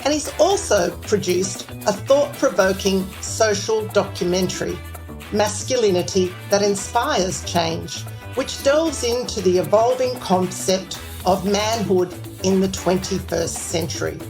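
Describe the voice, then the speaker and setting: A woman's voice: mid-range voice, lively intonation, unhurried, over music, low-quality recording. A woman hosting an interview podcast, reading a scripted guest introduction and asking questions in an upbeat, formal way. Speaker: podcast host.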